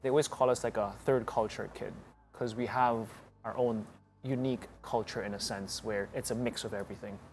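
A man's voice: lowish voice, fairly even intonation, brisk, a little hiss or hum. A man who grew up in Hong Kong, speaking as a guest in a casual interview, open and upbeat.

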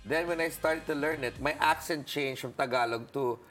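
A man co-hosting a casual interview, lively and often pausing to search for the right word. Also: on the high side, soft background music. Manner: animated, searching for words. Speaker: co-host.

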